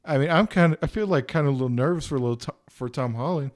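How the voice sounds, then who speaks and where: A man's voice: a little high, racing. A man in his twenties co-hosting a podcast, rambling casually and filling his talk with "like" and "you know". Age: 20s. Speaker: podcast co-host. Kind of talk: casual film review chat.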